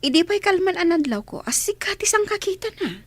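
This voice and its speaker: A woman's voice: extremely high pitch, big pitch swings, fairly quick, no room sound. A woman acting a chatty, concerned waitress friend in a radio drama, with an encouraging manner.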